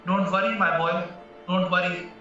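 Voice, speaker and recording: An actor as a fatherly defence lawyer in a radio drama, offering steady reassurance. The voice is high and very bright, in a strongly echoing room. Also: moderate pace, soft background music. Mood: reassuring. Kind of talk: stage-style radio play acting. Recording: muffled, distant, echoey room recording.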